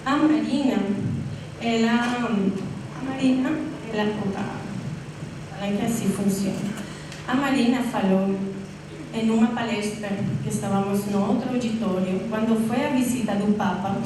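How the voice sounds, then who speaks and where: A woman from Colombia in her thirties with a Colombian Spanish accent, a native Spanish speaker giving a university lecture with deliberate, searching phrasing. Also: medium pitch. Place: university classroom or auditorium. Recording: echoey hall from far off, noisy.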